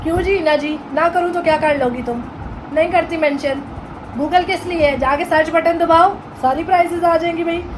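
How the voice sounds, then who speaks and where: A woman's voice: very high voice, normal speed, noisy background. A woman in her twenties with a Pakistani accent, chatting casually in a vlog and mixing in English phrases and playful Punjabi. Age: 20s. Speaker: vlogger.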